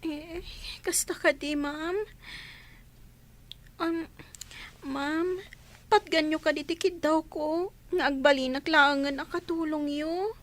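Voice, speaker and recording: A tiny, very high girl's voice, slow and halting. She is a frightened, respectful runaway in an Ilocano radio drama, pleading timidly. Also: fairly even intonation.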